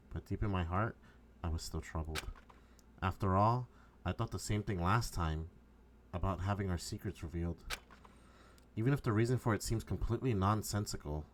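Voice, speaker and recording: A man's voice: sits low, slight background noise. A man streaming a game, reading its narration aloud and chatting casually between lines. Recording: music behind the voice.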